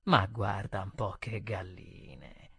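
A man's voice: on the low side, lively intonation, slow pace, vocal fry, fairly bright tone, husky, no room sound, studio quality, pitched below the performer's own voice, heavily acted. A man voicing a resentful, sullenly defiant waiter who mutters insults in a story reading. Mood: muttered scorn.

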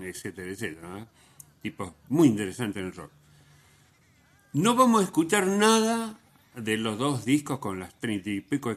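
A man hosting a radio show, telling music stories unscripted in a halting way, repeating phrases for emphasis. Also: lowish voice, a bit bright. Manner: halting, with frequent repetition for emphasis. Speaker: radio host.

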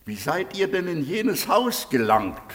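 A male actor playing a stern, impatient judge in a theatrical German radio play, measured and formal in a reverberant hall. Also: high voice, big pitch swings, a bit bright, exaggerated voice. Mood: probing, impatient. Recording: long courtroom-like hall reverb.

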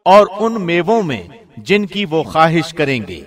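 A man reads translated scripture from a script. His delivery is formal, measured and solemn, in the manner of a radio presenter. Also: on the high side, very animated, faint music behind. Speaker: radio host reading Quran translation.

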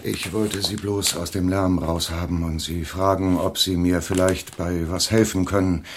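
An actor in a German radio play, playing a fat, shady, wheedling Hollywood film agent who cajoles and hedges. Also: male voice, sits low, level tone, moderate pace, slight background noise, lightly acted. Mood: cajoling.

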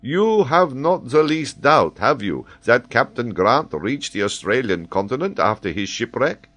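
A man voicing an excitable, learned French geographer, speaking eagerly and emphatically as he explains. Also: medium pitch, a little slow, voice pushed up. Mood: lecturing. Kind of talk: audiobook character voice.

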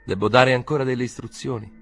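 A man playing a weary, composed nephew in an audiobook, speaking in a restrained, measured, evasive way. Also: mid-range voice, steady tone, gravelly, soft background music, voice pushed up. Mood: controlled, placating.

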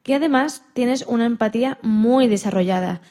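A woman's voice: a little high, moderate pace, dark tone. A woman co-hosting a radio programme in a conversational interview style.

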